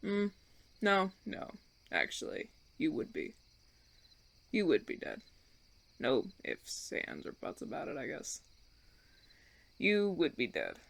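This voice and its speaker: A man playing a smug, menacing vampire recruiter in an audio roleplay, with a gravelly, creaky voice and theatrical, taunting, drawn-out phrasing. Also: on the low side, fairly bright tone, full character voice. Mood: threatening, smug. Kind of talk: ASMR audio roleplay acting.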